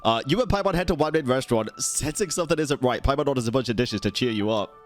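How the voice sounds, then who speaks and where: A man's voice: medium pitch, animated, fast talker. A man commentates on a video game as a streamer. His mid-range voice moves up and down with lots of animation, and his delivery is slangy and jokey. Game music plays faintly behind him. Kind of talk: live game commentary with dramatic reading of on-screen text.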